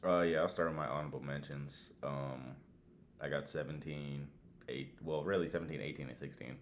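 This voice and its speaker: Very deep-voiced man in casual chat. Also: steady tone, fairly quick, a little hiss or hum. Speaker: podcast co-host.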